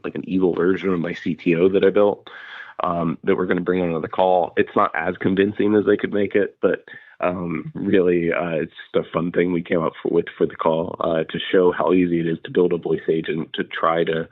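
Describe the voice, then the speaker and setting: A man with a low, fairly flat voice talks casually about tech as a podcast host. He rambles and hesitates as he feels his way through his thoughts. The sound is narrow and phone-like, with very little room in it. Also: no echo. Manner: halting, hedging, rambling delivery. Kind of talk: casual solo commentary on tech and security.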